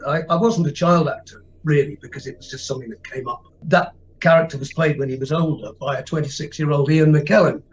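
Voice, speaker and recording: A male actor guest with a somewhat high voice, speaking modestly and with self-deprecating humor. His voice comes through a dull, lo-fi remote line. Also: a little hiss or hum. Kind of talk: interview answers.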